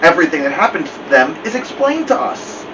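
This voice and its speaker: A man's voice: a little high, big pitch swings, a little fast. Man reading as wry old family dog, muffled recording. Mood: dignified, wry. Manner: wry, digressive, formal wording. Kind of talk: first-person storybook narration. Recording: muffled, distant, noisy room hum.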